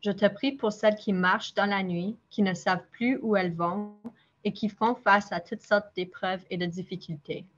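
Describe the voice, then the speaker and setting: A young woman, speaking at a middling pitch in a plain, direct manner with the odd filler. The recording has a slightly muffled, dull sound. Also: fairly even intonation, normal speed, faint music behind. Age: 20s. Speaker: nurse, interview guest. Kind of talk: prayer reading and interview answers.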